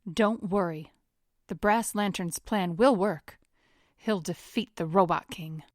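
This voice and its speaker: A steely society heiress, hurt but composed, acted by a woman, in an audio drama. She speaks slowly and with determination, in a dry, close sound. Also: fairly low pitch, no echo. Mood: assured. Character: poised, steely injured society heiress in her city's war.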